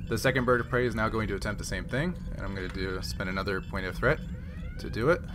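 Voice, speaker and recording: A man running a tabletop game as game master, narrating casually and thinking aloud in halting phrases. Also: lowish voice, expressive, background noise.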